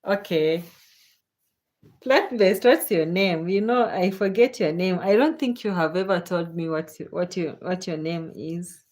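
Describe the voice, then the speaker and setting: A woman's voice: on the low side, measured pace, darkish tone. A Kenyan woman hosting a casual late-night livestream, chatting unhurriedly with viewers and laughing often. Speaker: livestream host. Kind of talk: casual chat reading viewer comments.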